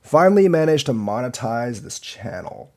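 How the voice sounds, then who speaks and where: A man's voice: medium pitch, very animated, moderate pace, darkish tone. A man with a slight cold and a slightly congested voice, rambling as he commentates his own board game live and critiques the moves. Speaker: go teacher streamer.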